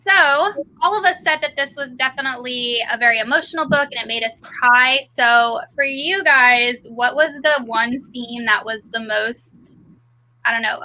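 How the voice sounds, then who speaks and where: A woman's voice: fairly high pitch, sharp tone, slight background noise, rough recording. A woman hosting a casual live book-club discussion, leading the group with questions.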